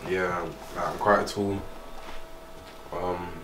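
A man's voice: deep voice, flat delivery, slow, background noise. A young man, chatting casually as a dating show contestant. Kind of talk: casual chat. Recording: echoey, distant, loud chatter behind.

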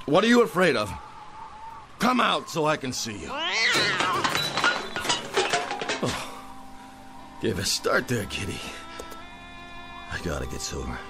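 A man's voice: mid-range voice, huge pitch swings, measured pace, pauses often, over loud music. An actor playing a tipsy, jolly down-and-out man as Santa Claus, effusive and theatrical, in a radio drama. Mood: muttering, wary.

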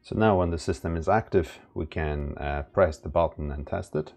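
A man narrating a casual step-by-step product setup tutorial in a plain, halting instructional way. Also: deep voice, vocal fry, darkish tone. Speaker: product demonstrator. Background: background music.

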